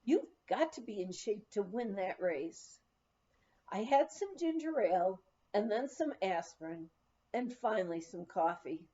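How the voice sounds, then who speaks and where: A woman's voice: mid-range voice, unhurried. A woman reading a first-person memoir aloud, telling the story expressively. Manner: expressive, acts out quoted dialogue. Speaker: audiobook reader of a memoir. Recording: slightly dull, lo-fi sound.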